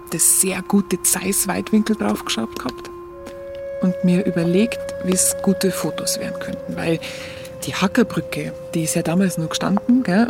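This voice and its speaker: Munich woman narrator for radio play: Bavarian accent, colloquial, confiding recollection, clear music bed. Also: on the low side. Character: Munich woman candidly recalling her hungry youth in 1945.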